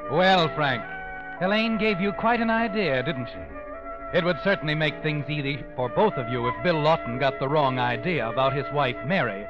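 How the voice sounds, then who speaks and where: An actor playing a sinister, all-knowing storyteller in 1940s radio suspense, sardonic and needling. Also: male voice, a little high, normal speed, natural voice. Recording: dull old radio recording. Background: dramatic music.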